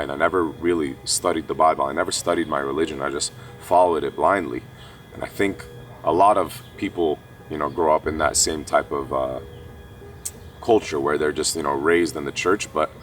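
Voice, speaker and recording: Very deep-voiced young man, earnest. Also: fairly bright tone, recorded from a distance, slight background noise. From Kuwait. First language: Armenian. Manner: earnest, halting, many fillers. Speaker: esports player profiled. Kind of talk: reflective personal storytelling.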